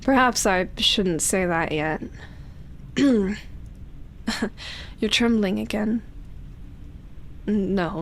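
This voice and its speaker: Slow, breathy, yearning female vampire, acted by a woman. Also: mid-range voice, a little hiss or hum, rough recording. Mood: awed, hesitant. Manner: slow, hesitant, sighing delivery with long pauses. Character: ancient lonely female vampire, tender, yearning, seductive. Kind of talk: intimate ASMR roleplay audio drama.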